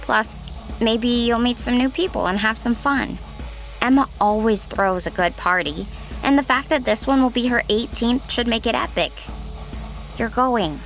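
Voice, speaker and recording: Casting: woman as high-voiced teenage best friend in an audio drama, upbeat, pleading, won't take no. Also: measured pace, faint music behind. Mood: eager pleading. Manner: pleading, coaxing.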